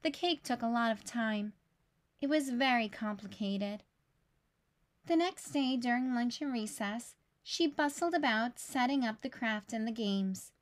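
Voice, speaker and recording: Slow high-voiced woman reading a storybook. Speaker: read-aloud storyteller for young children. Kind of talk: scripted picture-book read-aloud.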